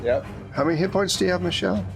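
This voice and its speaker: A man's voice: sits high, racing, creaky. A man narrating a tabletop roleplaying game as game master, describing scenes and putting questions to players. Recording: music behind the voice.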